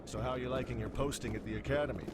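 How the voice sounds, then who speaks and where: A man's voice: mid-range voice, steady tone, racing, lightly acted. An actor playing a seasoned male police officer in a video game, chatting casually and reassuringly with a newcomer. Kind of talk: video game background dialogue. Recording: distant, buried in loud ambient noise.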